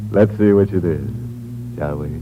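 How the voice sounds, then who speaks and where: A man's voice: lowish voice, background noise. An actor playing the sly, sardonic host of a horror radio show, chuckling and drawing out his words. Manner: sardonic, chuckling, drawn-out delivery. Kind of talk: 1950s radio drama host. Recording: dull old radio recording with music.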